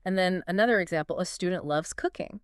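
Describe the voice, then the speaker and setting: A woman's voice: lowish voice, quick pace, clear, resonant tone, no echo, studio quality. A woman co-hosting a podcast in casual chat, talking conversationally with lots of fillers and eager reactions. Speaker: podcast co-host.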